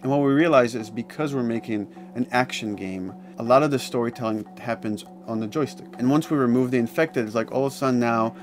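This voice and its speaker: A man's voice: medium pitch, fairly quick, hardly any pauses. A man explaining his work in a documentary interview as a game developer. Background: background music.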